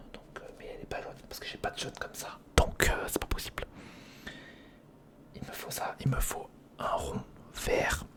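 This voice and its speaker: Whispering casual French-language ASMR creator. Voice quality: breathy, unvoiced whisper. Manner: casual, thinking aloud while assembling, repeats words. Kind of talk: ASMR, whispered build-along commentary. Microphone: close recorder microphone.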